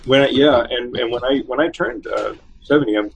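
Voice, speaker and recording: A man in his seventies, a poet, reading a poem slowly and then answering interview questions with many pauses and fillers. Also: mid-range voice, vocal fry, slightly rough, rough recording. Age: 70s. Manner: slow measured reading, hesitant with fillers when chatting. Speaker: poet and novelist guest.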